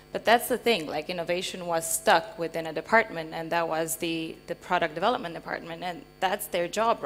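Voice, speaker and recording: A woman's voice: lowish voice, a little hiss or hum. A woman from Romania speaking as a panel guest, explaining things conversationally and often checking in with rhetorical questions. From Transylvania, Romania. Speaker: invited panel speaker, company founder. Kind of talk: panel interview, explanatory anecdotes. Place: live conference panel before an audience.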